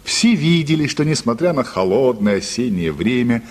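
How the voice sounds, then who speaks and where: A male narrator reads a story for a radio drama in a husky, middle-pitched voice. His delivery is animated and expressive, with a long reverberant tail around it. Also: moderate pace. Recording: reverberant studio hall sound.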